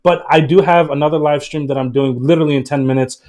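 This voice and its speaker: A man speaking as a guest on a casual live stream, energetic and emphatic, in a motivational way. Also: on the high side, fast talker.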